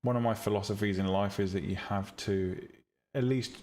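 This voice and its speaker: A man's voice: lowish voice, normal speed. A man live-streaming, chatting casually and bluntly with his viewers about making 3D tutorials, with lots of ums and restarts. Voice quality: calm, relaxing voice. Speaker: streamer teaching 3D rendering and visual novel making.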